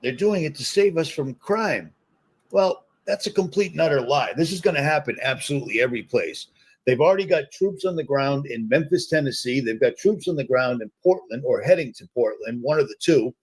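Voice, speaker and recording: A man's voice: mid-range voice, rough recording. A man in his fifties from the United States, hosting a live reaction stream and ranting in a conspiratorial, repetitive way. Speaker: host.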